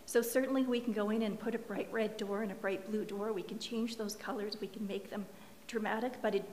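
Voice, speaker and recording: Brief: hoarse woman applicant, earnest and explanatory, in a reverberant council chamber. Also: medium pitch, steady tone, fairly quick, a little mellow. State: losing her voice. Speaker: applicant's designer presenting to a planning commission. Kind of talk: formal public meeting presentation. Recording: reverberant meeting room, faint hiss.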